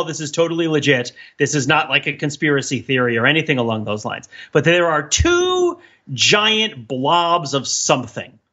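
A man's voice: on the high side, pushed and bright, highs cut off. A man co-hosting a podcast in casual comic banter, rambling off into mock-scholarly tangents. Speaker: podcast co-host. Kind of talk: casual comedic banter and riffing.

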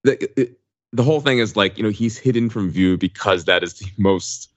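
A man's voice: lowish voice, moderate pace. A man co-hosting a casual analytical chat, explaining and qualifying his points, on slightly dull audio. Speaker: podcast co-host.

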